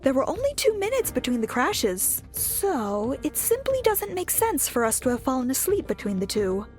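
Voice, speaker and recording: A woman's voice: sits high, big pitch swings, normal speed, a little mellow, soft background music. A woman playing a quirky, analytical young woman in an anime-style audio drama, precise and pedantic. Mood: matter-of-fact.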